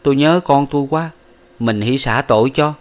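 A man's voice: medium pitch, normal speed, pitched above the performer's own voice, natural voice. Male reader voices ruined young man begging; raised, strained pleading. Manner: pleading, whining. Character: desperate young man, pleading and weak-willed.

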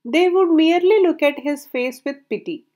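A woman narrating a folk tale aloud in a measured storybook reading, her sentences falling at the ends. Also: high voice, animated, darkish tone.

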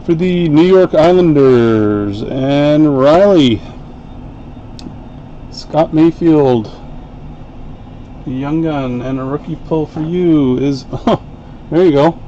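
A man hosting a live card break, calling out each card in an unhurried, chatty way. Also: on the high side, warm tone, highs cut off, slight background noise. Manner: unhurried, chatty play-by-play while opening packs. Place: home card-break room, indoors.

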